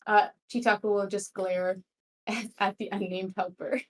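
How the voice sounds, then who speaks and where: A woman playing in a tabletop roleplay, chatting casually in and out of character in a creaky, somewhat hesitant voice. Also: medium pitch, fairly even intonation, measured pace, low-quality recording.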